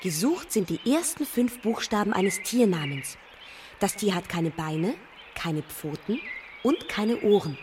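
An actress playing a naive, pert young princess in a children's radio play. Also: female voice, medium pitch, very animated, unhurried, far from the mic, background noise. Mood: instructive.

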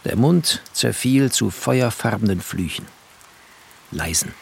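An actor playing a wry, tender, bookish refugee who narrates a radio drama in terse poetic phrases. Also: male voice, fairly low pitch, lively intonation, slow pace, creaky, a little hiss or hum, heavily acted. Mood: wry, sombre.

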